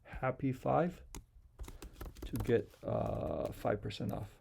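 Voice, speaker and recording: A man walks through software settings in an informal, unscripted way. His voice is mid-pitched and relaxed, with a noticeable creak. He explains each step simply and often repeats himself. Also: slow, slight background noise. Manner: simple, repetitive step-by-step instruction. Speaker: software tutorial presenter. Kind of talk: casual unscripted screen-share walkthrough.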